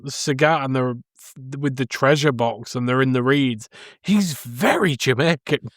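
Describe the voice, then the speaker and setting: A man's voice: mid-range voice, a little slow, dry recording. A man with a mid-range voice chats casually as a podcast co-host. He sounds conversational, discussing a film in a very dry recording.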